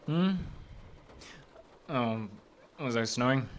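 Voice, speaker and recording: An actor as a careful park service man in a live audio drama, his voice at an ordinary male pitch. He hesitates and stumbles a little, sounding skeptical and slightly flustered. A light noise sits on the stage recording. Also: drawn-out words. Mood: groggy, wary.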